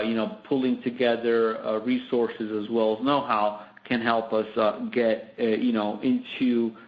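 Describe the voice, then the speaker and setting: Wordy male executive on a muffled call. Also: mid-range voice. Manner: hesitant, wordy, many asides. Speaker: company executive answering analysts. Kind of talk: spontaneous business explanation. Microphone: conference call line. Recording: slightly muffled conference call audio.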